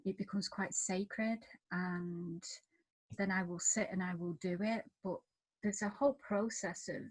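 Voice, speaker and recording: A woman's voice: medium pitch, darkish tone, rough recording. A woman speaking as a panellist, reflecting in an informal, thoughtful and slightly tentative way. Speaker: writer, panellist.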